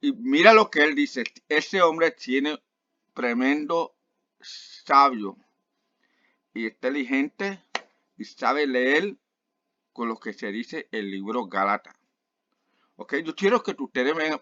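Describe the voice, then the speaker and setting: Slow-talking man with many fillers. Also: mid-range voice, sharp tone, no echo, lo-fi sound. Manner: halting, with many fillers and restarts. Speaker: online Bible teacher. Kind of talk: casual video commentary.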